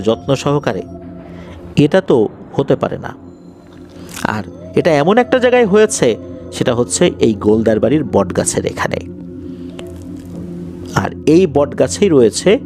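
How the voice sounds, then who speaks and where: Guy telling a scary story, kinda high voice, really up and down delivery, dramatic, light music under it. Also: darkish tone. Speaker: horror story narrator, show host. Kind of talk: conversational storytelling of true ghost accounts.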